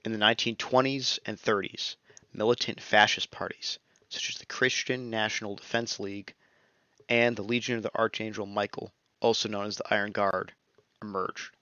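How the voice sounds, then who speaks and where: A young man narrating a scripted history lesson in a steady, measured reading voice, as a close voice-over. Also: lowish voice, smooth, no echo. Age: young adult. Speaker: student narrator. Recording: dull lo-fi dry recording.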